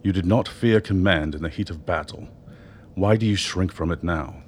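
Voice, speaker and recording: An actor in a radio drama playing an older doctor, a persuasive political mentor who speaks in measured, eloquent phrases. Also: male voice, low voice, darkish tone, close to an everyday voice. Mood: commanding, urging. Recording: noticeable background noise.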